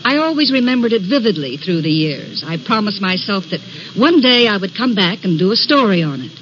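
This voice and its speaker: A woman's voice: mid-range voice, very animated, fairly quick, fairly bright tone, a little hiss or hum. An actress playing a curious, self-possessed young woman writer in an old-time radio drama, speaking articulately and theatrically. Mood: friendly, probing. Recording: dull vintage radio recording with music.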